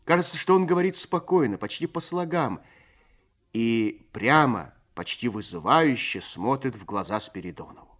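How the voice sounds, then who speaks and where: A man narrating a literary story for a radio play in a measured, expressive storytelling manner. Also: mid-range voice.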